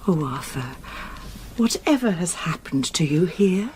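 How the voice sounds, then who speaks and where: An actress playing a devoted young English fiancée, tender and anxious yet resolute, with formal diction in a period radio drama. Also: female voice, fairly low pitch, very animated, a little slow, darkish tone, slight background noise. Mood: worried, tender.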